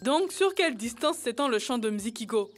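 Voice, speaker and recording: A woman's voice: fairly high pitch, lively intonation, faint music behind. A woman voicing a clever, encouraging young child in an educational cartoon, reasoning step by step. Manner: deliberate, step-by-step.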